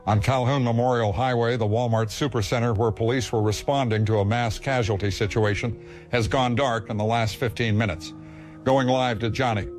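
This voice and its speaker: A man's voice: mid-range voice, a little mellow, lightly acted. Man playing a grave news anchor over music. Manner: serious, formal. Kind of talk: scripted breaking-news broadcast in horror audio drama.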